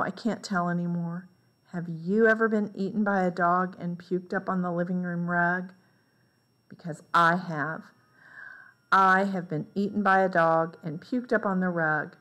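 A woman reading a children's picture book aloud in a deliberate, expressive way. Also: fairly low pitch. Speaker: read-aloud storyteller.

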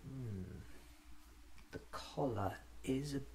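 A man in his thirties doing an ASMR roleplay monologue, often whispering and musing to himself in short halting phrases, recorded with ambient noise. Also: mid-range voice, mellow. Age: 30s.

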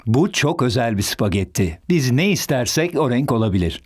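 A man's voice: mid-range voice, very animated, normal speed, a little mellow, breathy edge. A man voicing a friendly, patient, playful father in a children's cartoon.

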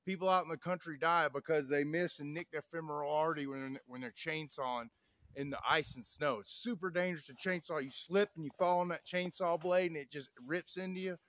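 Thirties man, preachy folksy off-grid vlogger, higher-pitched voice, dry sound, filmed by a tripod camera outside. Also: brisk, a bit bright, dry recording. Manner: folksy, preachy, keeps saying y'all. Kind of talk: outdoor vlog talk to camera. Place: snowy woods outdoors. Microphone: camera on tripod, a few meters away.

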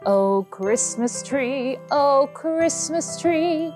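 A woman's voice: high voice, expressive, very slow. A young woman performing as a cheerful, theatrical pantomime sing-along leader, addressing viewers directly. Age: young adult. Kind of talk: children's presenter talk and Christmas carol singing. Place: indoor studio set with Christmas trees. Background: instrumental Christmas music.

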